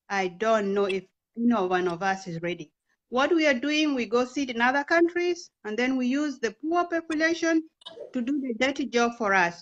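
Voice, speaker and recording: A woman's voice: high voice, a bit bright. Earnest woman, persuasive, muffled lo-fi audio. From Kenya. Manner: earnest, persuasive, asks rhetorical questions. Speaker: interview guest. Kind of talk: impassioned political talk.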